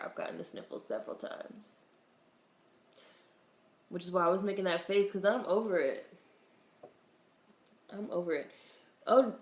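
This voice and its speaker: Stuffy-nosed woman just chatting with her stream, laid back, kinda roomy echo. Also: mid-range voice. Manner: chatty, relaxed, swears casually. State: congested with a cold. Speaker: livestream host. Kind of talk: casual livestream chat greeting viewers.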